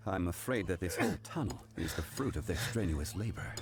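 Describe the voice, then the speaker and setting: An actor playing a composed, formal male character in a video game, calm and measured in his speech. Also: sits low, gravelly, heavily acted. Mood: solemn. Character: composed, formal male scholar of ancient lore.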